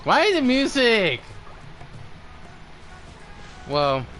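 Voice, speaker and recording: A man's voice: very high-pitched, huge pitch swings, very slow, bright, forceful tone, soft background music. A man doing casual livestream commentary as he plays a game, with some noise behind him. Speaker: game streamer.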